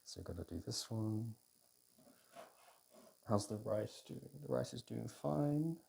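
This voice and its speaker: A British man in an intimate, casual ASMR roleplay as a boyfriend cooking, talking in an unhurried, hesitant way as if thinking aloud. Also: mid-range voice, animated, vocal fry, mellow, slightly rough. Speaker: audio roleplay performer playing a boyfriend cooking. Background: kitchen food preparation sounds.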